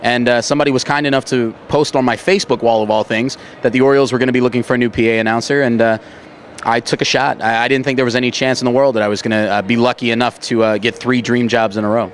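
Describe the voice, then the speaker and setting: Very fast-talking young American man, outdoors. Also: mid-range voice, fairly even intonation. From Baltimore, United States. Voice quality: trained theater voice. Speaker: stadium public address announcer, interview guest. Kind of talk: conversational interview answers. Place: outdoors at a ballpark. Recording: faint outdoor urban background noise.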